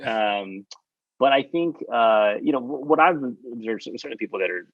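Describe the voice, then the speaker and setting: A man's voice: mid-range voice, animated, moderate pace, dry recording. A talkative man, a surgeon, giving rambling advice to trainees on a panel, with many fillers and restarts. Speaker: surgeon mentoring residents. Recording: dull lo-fi dry sound.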